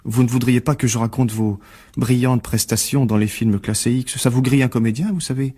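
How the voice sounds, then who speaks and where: An actor playing a smug, scheming blackmailer of a journalist in a radio mystery drama, insinuating and falsely polite. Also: male voice, medium pitch, steady tone, a little fast, mellow, natural voice. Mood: insinuating, menacing.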